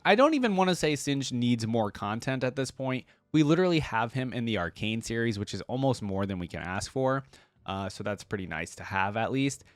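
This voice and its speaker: A man's voice: medium pitch, no room sound. A man hosting a reaction video, giving casual, informal commentary as he thinks aloud.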